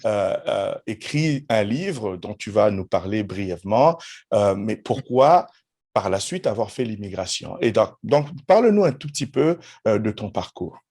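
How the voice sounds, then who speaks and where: Mellow-voiced male podcast host. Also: lowish voice, big pitch swings, unhurried. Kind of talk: conversational interview.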